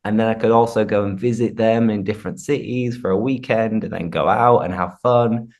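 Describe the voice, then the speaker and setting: A man's voice: on the low side, steady tone, brisk, darkish tone. A man from Yorkshire with a Northern English accent, hosting a casual conversation in an informal, explanatory way. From Yorkshire, England. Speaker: host, English teacher. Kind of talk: casual chat with explanations of slang.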